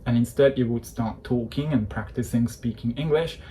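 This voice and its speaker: Man in his thirties, coach delivering a fired-up persuasive rant, mellow tone, faint hiss underneath. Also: mid-range voice, moderate pace. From Hungary. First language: Hungarian. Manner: passionate, persuasive, opinionated. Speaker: English coach. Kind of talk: direct-to-camera talk.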